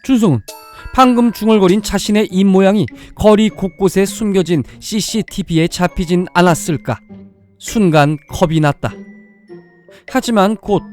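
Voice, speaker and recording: Scripted audio-drama narration, storyteller, mid-pitched voice, music underneath. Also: normal speed, darkish tone. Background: background music.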